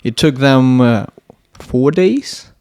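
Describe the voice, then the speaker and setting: A man's voice: mid-range voice, lively intonation, a little mellow, clean tone. A young Latvian man, Russian by mother tongue, talks his way through a podcast interview in a mid-range voice. He halts often, restarts words and hunts for the right term, and keeps going at an easy, conversational pace. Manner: halting, searching for words, many restarts. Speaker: podcast guest, electronics engineer.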